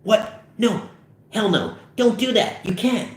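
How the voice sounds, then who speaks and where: Guy playing nervous nerdy teen boy, way up high, pleading, echoey room, comedy script. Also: sing-song, slow pace, full character voice. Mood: panicked pleading. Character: anxious nerdy teenage boy, pleading and excitable.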